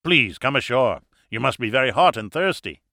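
A man's voice: medium pitch, big pitch swings, moderate pace, pushed and bright, no echo, studio quality. A man voicing a big, jovial older German expatriate in an audiobook, with a German accent and falsely gallant manners. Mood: smooth, hospitable. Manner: hearty, effusive, falsely courteous.

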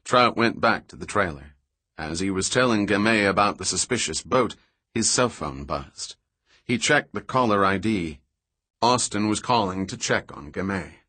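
A man narrating an audiobook with steady, even storytelling. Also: on the low side, moderate pace, breathy edge, no echo. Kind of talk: scripted narration.

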